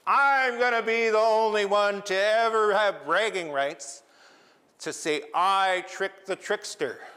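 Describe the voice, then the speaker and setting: A man's voice: high-pitched, slow pace, sharp tone, voice pushed up, exaggerated voice. A man voicing a cocky, scheming male crab, spoken in a plain, matter-of-fact way. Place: longhouse.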